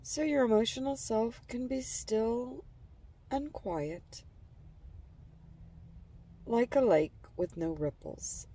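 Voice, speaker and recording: A woman narrating a guided imagery relaxation in a calm, gentle, soothing manner, letting phrase endings trail softly. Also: fairly high pitch, slow, long pauses, distant mic.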